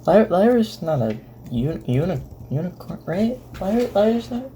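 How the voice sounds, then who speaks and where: A woman hosting a casual reaction video, with rambling, repetitive, deadpan joking asides. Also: medium pitch, moderate pace, a little hiss or hum.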